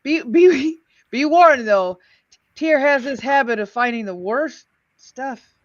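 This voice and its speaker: A woman's voice: high voice, animated, slow, lo-fi sound. A woman casually hosting a livestream in a playful, chatty way. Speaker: host of an old-time radio heckling stream.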